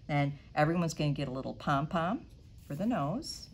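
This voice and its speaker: Children's librarian, middle-aged woman, low voice with a lively, swooping delivery, faint room hiss. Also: moderate pace. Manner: animated storybook reading with big sound effects. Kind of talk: storytime reading and craft demo. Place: library storytime room, indoors.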